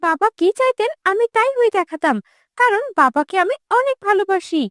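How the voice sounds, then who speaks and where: Woman playing young cartoon daughter, extremely high voice, lively swings, headstrong, earnest, dry recording. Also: brisk, no echo. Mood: shocked. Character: young daughter, headstrong but devoted to parents. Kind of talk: animated moral-drama dubbing.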